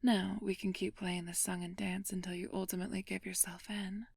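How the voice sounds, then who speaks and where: A woman playing an ancient vampire thief in an ASMR roleplay, flirtatious and imperious, speaking in a breathy, teasing, commanding whisper. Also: mid-range voice, level tone, a little mellow, dry recording, clean studio sound. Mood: seductive, smug. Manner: slow, teasing, commanding, haughty.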